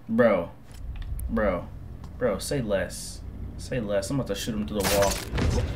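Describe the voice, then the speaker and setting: A man in his twenties commentating on a game through a headset, excitable and slangy, repeating himself. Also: a little high, slight background noise. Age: 20s. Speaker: gaming streamer. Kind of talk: casual gameplay commentary.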